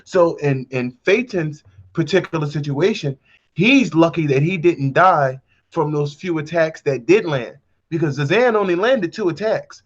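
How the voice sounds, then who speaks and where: A man's voice: fairly high pitch, expressive, unhurried. Man explaining on a muffled call. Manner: explanatory, lays out arguments step by step. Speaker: guest. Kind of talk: casual group discussion. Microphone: online voice call. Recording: dull lo-fi call audio.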